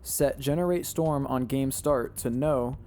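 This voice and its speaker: A young man narrates a game-building tutorial, his voice a little hoarse as if he's losing it. The tone is mellow and dark, the delivery steady and instructional as he reads settings one after another. Faint music plays quietly behind him. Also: mid-range voice, measured pace. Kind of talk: step-by-step tutorial voice-over.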